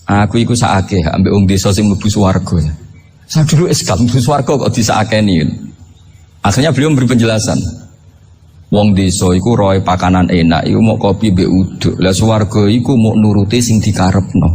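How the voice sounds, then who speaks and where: A man's voice: lowish voice, moderate pace, darkish tone, slight background noise. A man from Indonesia with a Javanese accent preaches informally as a storyteller, conversational and joking, often posing rhetorical questions. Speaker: Islamic preacher. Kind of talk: informal sermon with humorous storytelling.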